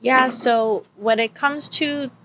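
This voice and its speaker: A woman's voice: a little high, fairly even intonation, slow, a bit bright, faint music behind. A woman artist from the Bahamas on a panel, reflective and correcting herself as she goes. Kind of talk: panel discussion.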